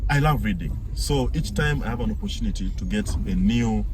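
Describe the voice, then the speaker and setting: Young ugandan guy, deepish voice, earnest and measured like a youth leader being interviewed, loud traffic noise. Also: lively intonation. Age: young adult. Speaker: talk show guest, youth leader. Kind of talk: conversational interview answers. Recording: noisy, with traffic-like background rumble.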